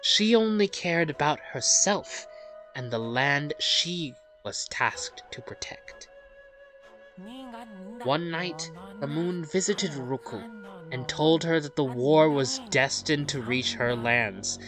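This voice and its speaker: A woman tells a game's backstory like a storybook, slowly and with measured phrasing. Faint music plays behind her narration. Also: low-pitched, fairly bright tone. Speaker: let's play narrator. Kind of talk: storybook-like narration of game lore.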